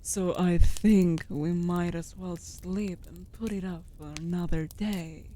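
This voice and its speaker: A woman voicing a female wolf familiar in a fantasy comfort audio drama, coaxing softly with dry, teasing banter. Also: fairly low pitch, steady tone, slow, a little hiss or hum. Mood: drowsy, tender. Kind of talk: scripted comfort audio roleplay.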